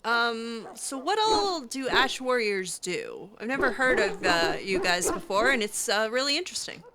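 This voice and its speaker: A woman's voice: a little high, very animated, normal speed. A woman role-playing her character in a video game, improvising her replies in casual, hesitant, conversational phrases. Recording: faint background noise.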